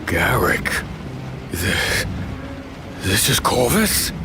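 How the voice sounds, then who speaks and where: A man playing a skeptical, defiant hero in a dark fantasy audio drama, intense and incredulous. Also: lowish voice, very animated, extremely slow, noisy background, full character voice. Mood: troubled.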